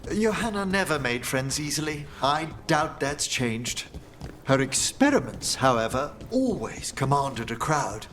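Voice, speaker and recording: A man's voice: mid-range voice, sing-song, measured pace, fairly bright tone, a little hiss or hum. A man playing a scholarly older male necromancer in a video game, with refined, theatrical and precise diction. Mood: solemn, commanding.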